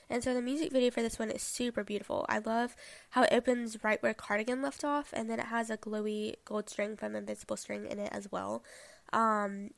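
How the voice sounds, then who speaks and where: Young woman, slightly sick podcast host; casual filler-heavy chat, gravelly fry, dry booth sound. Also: a little high, no echo. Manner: chatty, many "like" and "um" fillers. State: a little sick. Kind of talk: casual chat, album review.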